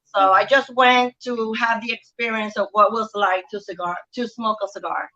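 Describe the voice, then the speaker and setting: A woman's voice: on the high side, fairly even intonation, moderate pace, pushed and bright. A middle-aged woman with a Puerto Rican accent, speaking casually as an interview guest over a video call. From Puerto Rico. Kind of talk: casual personal recollection. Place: outdoor family courtyard. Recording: dull lo-fi video call audio.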